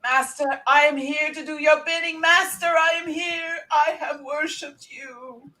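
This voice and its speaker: A woman's voice: sits high, steady tone, a little slow, pushed and bright, low-quality recording. A woman playing a fawning, fervent asylum lunatic devoted to a master, theatrically, in a horror script read. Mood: worshipful.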